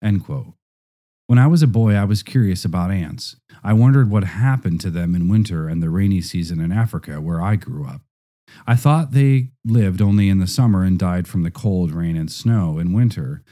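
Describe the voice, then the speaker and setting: Deep, very mellow male narrator. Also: normal speed.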